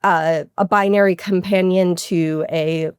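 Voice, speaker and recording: A woman with a fairly low voice speaks as a scientist guest in an interview. The sound is very dry, with no room echo. She explains technical material informally and keenly, adding asides as she goes. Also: steady tone, unhurried, clean tone. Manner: keen, informal, technical with frequent hedging asides. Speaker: interview guest, research astronomer.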